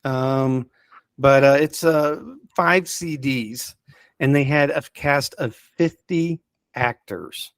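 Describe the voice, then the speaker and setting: A man with a mid-pitched voice talks casually as a guest giving recommendations. He is keen but rambles, pausing often to hunt for the right word. The sound is close and dry, with no room echo. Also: clean tone. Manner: halting and rambling, searching for words. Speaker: podcast guest reviewing audio dramas. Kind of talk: casual unscripted chat, recommendations.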